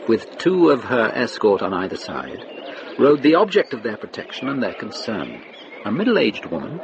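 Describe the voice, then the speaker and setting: A man narrating a radio drama in a scripted, literary style, with measured storytelling phrasing. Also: on the low side, creaky, highs cut off, noisy background, full character voice.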